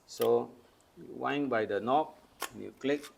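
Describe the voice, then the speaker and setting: A man's voice: medium pitch, slow pace, vocal fry, a little hiss or hum. An older man from Malaysia casually demonstrating old cameras, explaining each step patiently. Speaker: camera collector. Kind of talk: casual show-and-tell demonstration.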